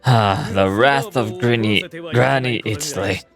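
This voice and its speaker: An actor playing a scheming, pompous merchant villain in a video game, theatrical and self-serving, talking with indignant persuasion. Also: male voice, on the low side, takes it slowly, vocal fry, music in the background. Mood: smug, scheming.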